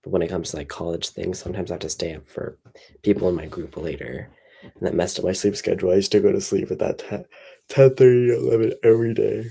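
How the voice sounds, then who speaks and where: Low gravelly young man, tired and rambling. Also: quick pace. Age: young adult. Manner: relaxed, rambling, many fillers. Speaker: streamer. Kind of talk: casual livestream chat.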